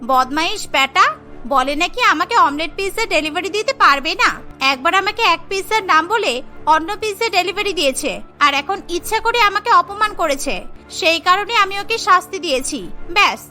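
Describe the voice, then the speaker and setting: A woman's voice: extremely high pitch, animated, fairly quick, pushed and bright, soft background music, exaggerated voice. A woman voicing a demanding, spiteful young college student in a cartoon, with an insistent, petulant manner. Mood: gloating. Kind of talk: cartoon dialogue acting.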